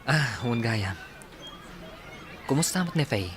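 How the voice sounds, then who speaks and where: A man's voice: medium pitch, expressive, slow. A man playing a cheerful, boastful young man in a radio drama, with a Filipino accent. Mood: jaunty.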